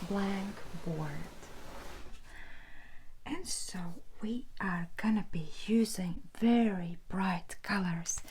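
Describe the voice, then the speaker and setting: A woman in her thirties speaking in a hushed, halting whisper as an ASMR host. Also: mid-range voice, noisy background. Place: indoor room at home with brick wall.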